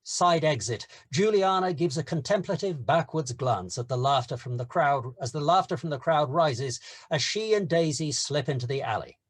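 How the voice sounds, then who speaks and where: A man narrating the stage directions of a screenplay at a table read, steady and descriptive. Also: fairly high pitch, moderate pace, hardly any pauses, breathy edge, no room sound.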